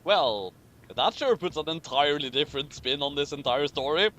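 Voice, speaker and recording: A man's voice: a little high, expressive, moderate pace, fairly bright tone, a little hiss or hum. A man doing a casual, mocking read-aloud with commentary, sarcastic and tripping over his words as he reads. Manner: stumbles over words while reading. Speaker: co-host reading a story aloud with commentary.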